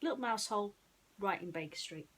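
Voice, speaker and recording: A woman storyteller speaking in a casual, chatty way, often halting and repeating herself as she goes. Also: medium pitch, animated, a bit bright. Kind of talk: casual chat and bedtime storytelling.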